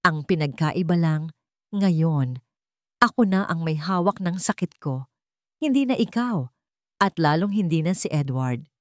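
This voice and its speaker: A woman voicing a wounded but resolute young heiress in a story, speaking in measured, firm, quietly defiant declarations. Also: fairly low pitch, expressive, no echo, studio quality. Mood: hurt defiance.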